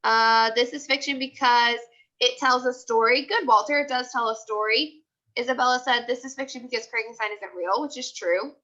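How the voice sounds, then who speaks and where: A woman's voice: fairly high pitch, moderate pace, sharp tone, dull audio. A woman teacher leading a live online class discussion, prompting with repeated questions and short commands.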